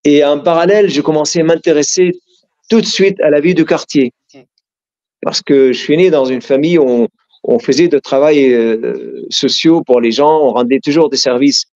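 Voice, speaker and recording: A man's voice: on the high side, normal speed, a little mellow, highs cut off. A middle-aged man from Syria with an Arabic accent, telling his life story in an interview. He is earnest and often stops and restarts his sentences. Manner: frequent restarts and hesitations, earnest.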